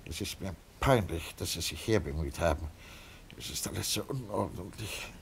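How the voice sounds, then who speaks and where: Nervous, bedridden father in a radio crime play: gravelly, hesitant, evasive, with some rumbling noise behind. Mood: weary, plaintive. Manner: halting, hesitant, flustered. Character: anxious, evasive, sickly father, bedridden and lonely. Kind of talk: radio crime drama acting.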